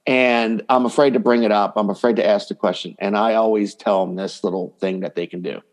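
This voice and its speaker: A man's voice: on the low side, fairly even intonation, a little fast. A man speaking as a dating coach guest in a conversational podcast interview, explaining points by voicing hypothetical quotes.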